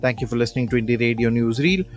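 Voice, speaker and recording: A man's voice: mid-range voice, racing. Indian-accented male newsreader with music behind. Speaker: news presenter. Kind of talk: scripted radio news bulletin.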